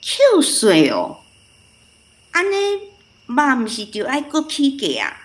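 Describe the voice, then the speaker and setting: A cheerful, teasing village neighbour in a radio play. Her high voice swoops expressively and takes its time, with a Taiwanese accent and drawn-out exclamations. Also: huge pitch swings, exaggerated voice. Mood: astonished. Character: cheerful, chatty village woman teasing a child. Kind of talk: radio drama acting.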